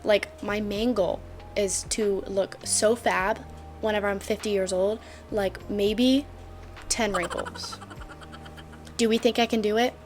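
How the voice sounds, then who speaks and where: A woman's voice: medium pitch, steady tone, slow, long pauses, faint music behind. A twenty-year-old woman talking casually to camera at home in a chatty vlog, joking and full of fillers. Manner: bubbly, joking, filler-heavy. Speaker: vlogger.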